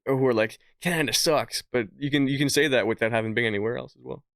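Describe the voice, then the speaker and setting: Fast-talking young man in casual podcast debate. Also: mid-range voice, gravelly, fairly bright tone, no room sound, clean studio sound. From born in Hungary, lives in Quebec. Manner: slangy, argumentative. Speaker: podcast co-host.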